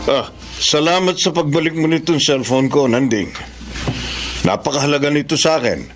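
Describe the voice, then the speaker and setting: A man's voice: a little high, normal speed, music in the background, natural voice. A man playing a generous, genial businessman in a Tagalog radio drama, speaking in a familiar way. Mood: grateful, genial. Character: hurried, generous, grateful businessman.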